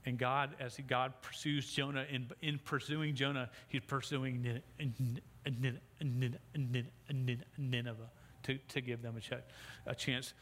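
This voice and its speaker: A man's voice: medium pitch, little pitch movement, unhurried, fairly bright tone. Middle-aged male pastor with a stutter, preaching into a church mic, long hall echo. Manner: stutters, with repeated sounds and restarts. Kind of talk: sermon. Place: church sanctuary. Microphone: sound system microphone. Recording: long hall reverb, faint background noise.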